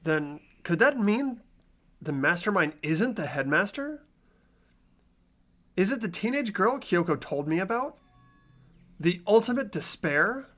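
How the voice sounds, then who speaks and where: High, anxious teenage schoolboy, acted by a man. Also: big pitch swings, a little slow, pauses often, fairly bright tone, full character voice. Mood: earnest, hesitant. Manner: hesitant, earnest, questioning. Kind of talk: fan-voiced visual novel dialogue.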